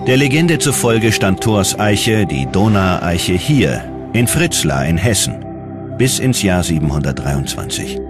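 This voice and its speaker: A man narrating a scripted documentary in a measured, dramatic storytelling manner. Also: low-pitched, sing-song. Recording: loud music under the voice. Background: loud background music.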